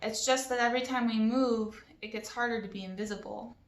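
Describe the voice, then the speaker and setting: A woman's voice: fairly high pitch, fairly even intonation, brisk. A woman hosting a read-along, chatting casually and reading a children's novel aloud expressively. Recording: roomy echo with background noise.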